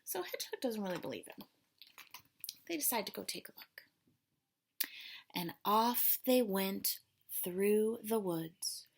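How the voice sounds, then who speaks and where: A woman's voice: mid-range voice, expressive, unhurried, pauses often, slightly rough. A woman teacher reading a children's story aloud, expressively and dramatically, with explanatory asides. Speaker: elementary school teacher.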